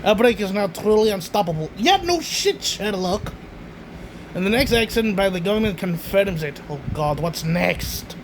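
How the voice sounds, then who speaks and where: A man's voice: high-pitched, animated, moderate pace, background noise. A young man streaming his reactions to a video, exclaiming, swearing and reading on-screen text aloud in casual chat.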